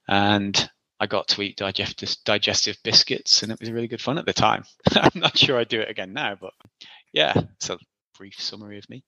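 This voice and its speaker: A man's voice: fairly low pitch, gravelly, pushed and bright, no room sound, rough recording. A man in his forties from the Isle of Man, answering interview questions casually in a rambling, self-deprecating way. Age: 40s. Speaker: guest.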